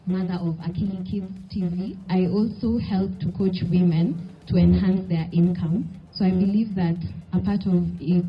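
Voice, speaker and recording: A woman speaking on a panel, conversational with frequent "you know, like". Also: lowish voice. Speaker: panelist, head of talent. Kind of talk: panel talk. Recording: dull lo-fi event audio.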